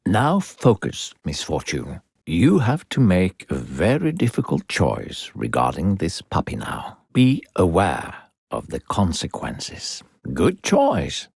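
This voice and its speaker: A male voice actor playing a smooth-talking, secretly sinister video game narrator, theatrical, coaxing and condescending toward a child. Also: low-pitched, wildly swooping pitch, takes it slowly, darkish tone, no echo, clean studio sound, exaggerated voice. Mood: coaxing, instructive.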